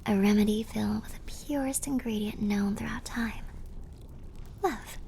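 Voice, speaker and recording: A woman playing a clumsy, affectionate and slightly mad plague doctor girlfriend in a theatrical, breathy ASMR roleplay. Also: medium pitch, normal speed, warm tone, a little hiss or hum, natural voice. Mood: gentle, musing. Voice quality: breathy, often whispered. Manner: theatrical, affectionate, quaint turns of phrase. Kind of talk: ASMR roleplay, scripted character acting.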